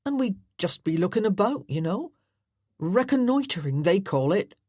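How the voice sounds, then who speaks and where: Lad, blunt and laconic, put on by a woman, loud and plain. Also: medium pitch, big pitch swings, normal speed, slightly rough, no echo, studio quality, voice pushed up. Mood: easygoing. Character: plain-spoken, easygoing country lad.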